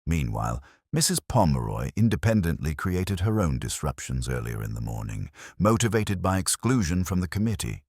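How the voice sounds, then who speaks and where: A man narrates a mystery story in a very deep voice. It is husky, with lively pitch movement and scripted storytelling delivery. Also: moderate pace, few pauses, no echo, studio quality.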